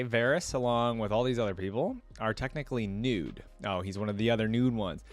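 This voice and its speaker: A man reacting to game lore, reading wiki text aloud and musing casually with lots of fillers. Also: on the low side. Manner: reads lore aloud, then muses with many fillers.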